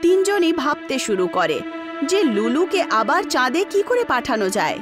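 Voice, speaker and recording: A woman's voice: sits high, very animated, a little slow, music in the background. A woman narrator reading a scripted children's story.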